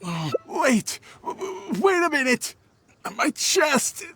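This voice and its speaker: An actor playing a nervous traveling merchant in a game, with a hesitant, stammering, evasive delivery. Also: male voice, very high-pitched, sing-song, slow pace, fairly bright tone, no room sound, heavily acted. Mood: feigned pain, panicked. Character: nervous, shifty traveling merchant man with dubious excuses. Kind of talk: animated game voice acting. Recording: music behind the voice. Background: game music.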